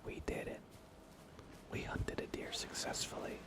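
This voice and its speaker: A man speaking in a soft, breathy whisper, giving unhurried, gently playful ASMR commentary while he plays a video game for viewers. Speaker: livestreamer playing a video game.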